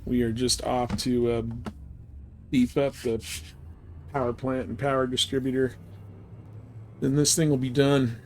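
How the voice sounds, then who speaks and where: A man livestreaming a game, chatting casually in rambling, halting thoughts as he plays. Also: mid-range voice, level tone. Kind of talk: casual chat while playing. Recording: noticeable background noise and game sounds. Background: game ambience and ship computer announcements.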